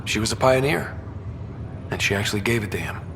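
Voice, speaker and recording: Male actor as young sci-fi game soldier, wistful, dry, conversational, long reverb, loud background hum. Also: fairly low pitch, brisk, lightly acted. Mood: sober, admiring. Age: young adult. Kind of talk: naturalistic video game dialogue acting. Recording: reverberant room, loud ambient hum behind.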